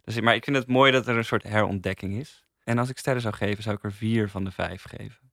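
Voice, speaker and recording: A man's voice: lowish voice, fast, vocal fry, fairly bright tone, no echo, studio quality. A man sharing thoughtful, slightly tentative opinions in a casual podcast conversation. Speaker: podcast co-host and reviewer. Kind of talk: casual review discussion.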